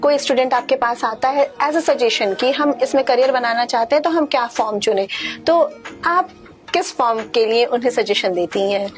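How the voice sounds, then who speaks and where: Woman, radio interviewer, high voice, conversational questions, faint music behind. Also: moderate pace.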